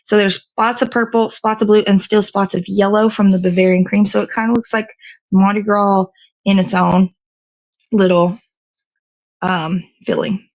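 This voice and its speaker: A woman's voice: mid-range voice, steady tone, normal speed, darkish tone. Chatty woman hosting a casual live show. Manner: chatty, rambling, thinks aloud. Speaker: host of a morning show. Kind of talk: casual live chat while assembling a dessert.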